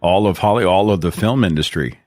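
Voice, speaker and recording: A man's voice: low-pitched, brisk, darkish tone, dry recording, clean studio sound. A man with an Oklahoma accent hosting a call-in show, talking in a conversational, emphatic way. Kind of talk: radio-style call-in talk.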